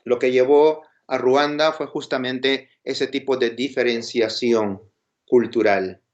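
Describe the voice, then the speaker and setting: A man's voice: mid-range voice, fairly even intonation, slow. A man with a Peruvian Spanish accent giving an informal, explanatory lecture, posing questions and checking in with his listeners. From Peru. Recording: dull, lo-fi sound.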